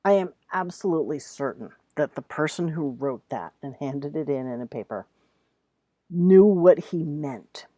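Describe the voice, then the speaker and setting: A woman talks to students in a low, mellow voice. Her delivery is informal and conversational, with deliberate emphasis on key words. The sound is dry, with almost no room in it. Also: lively intonation, dry recording. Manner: emphatic, reads examples aloud deliberately, then comments plainly. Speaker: writing teacher. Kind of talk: casual instructional talk to students.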